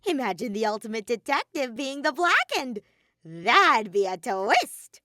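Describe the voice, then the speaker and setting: A woman's voice: fairly high pitch, sing-song, measured pace, bright, forceful tone, no room sound, clean studio sound, pitched above the performer's own voice, exaggerated voice. Woman doing a flippant, swooping robot bear villain. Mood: smug, dismissive. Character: mischievous sadistic male robot bear, flippant and taunting. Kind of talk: fan fiction audio story character voice.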